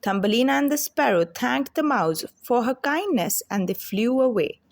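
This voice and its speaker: A woman reading a children's fairy tale aloud as an expressive, deliberate storyteller. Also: on the high side.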